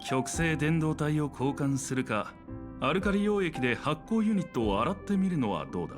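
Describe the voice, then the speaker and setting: A middle-aged father from a video game, proud, chatty and over the top. His delivery is animated, with big pitch swings and dramatic self-assurance, and music plays clearly behind him. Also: quick pace. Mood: critical, dry. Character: talkative, theatrical doting middle-aged father, proud academic. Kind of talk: Japanese game voice acting.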